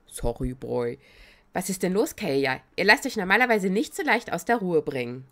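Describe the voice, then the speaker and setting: A woman with a cold chats her way through a video game on stream, excitable and giggly. Her slightly raspy voice is bright and lively, jumping up and down in pitch. She sounds close to a desk microphone. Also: moderate pace. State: has a cold. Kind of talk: casual let's-play commentary.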